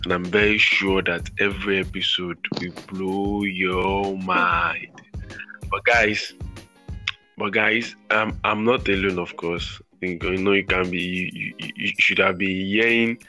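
A man's voice: deep voice, bright, forceful tone, faint music behind. A male podcast host, chatting casually and restarting his sentences often. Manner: halting, many restarts and repeated words.

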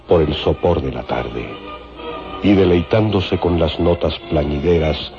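Deep-voiced male radio serial narrator. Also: a little slow. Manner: solemn, descriptive storytelling. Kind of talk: dramatic scripted narration. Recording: dull radio recording with music.

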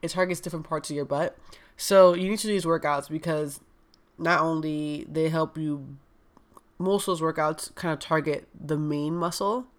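A woman's voice: low-pitched, fairly even intonation, moderate pace. Young woman, congested nose, casual fitness chat, blunt, lots of fillers. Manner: chatty, frank, heavy use of "like" and "um". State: stuffed-up nose. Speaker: wellness coach. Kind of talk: casual podcast talk.

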